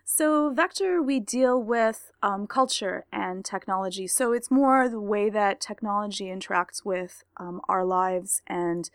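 A woman from Canada joins a casual podcast chat as a guest. She laughs readily and settles into a steady, thoughtful explaining tone. Her voice is dry and close, with no room around it. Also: medium pitch, smooth, no room sound. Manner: laughs often, enthusiastic then measured when explaining.

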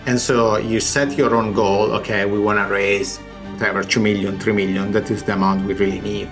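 A man with a noticeable Italian accent talks through startup numbers one step at a time. His voice sits fairly low and has a gravelly edge. He speaks the way a founder does in a recorded interview. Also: little pitch movement, a little fast, a bit bright, faint music behind. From Italy.

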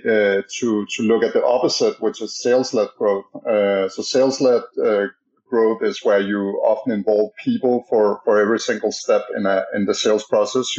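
A man with a Danish accent answers interview questions in a casual, halting way, full of uhs and restarts. His voice sits fairly low. The sound is dull and lo-fi, like a remote call. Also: darkish tone. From Denmark. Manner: halting, many fillers and restarts. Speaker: podcast guest, startup co-founder.

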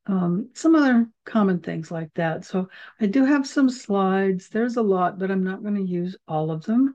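A woman's voice: lowish voice, dark tone. Chatty woman host, lots of ums, rambly teaching vibe, bit lo-fi zoom sound. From United States. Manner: chatty, rambling, many fillers and asides. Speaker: podcast host teaching herbal medicine. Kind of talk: casual teaching talk. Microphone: video call recording. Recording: slightly dull video call audio.

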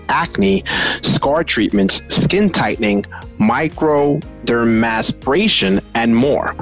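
A man's voice: mid-range voice, fairly bright tone, poor audio. Male host delivering a scripted commercial, mid-range voice over light background music. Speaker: host reading sponsor ad. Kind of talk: scripted ad read.

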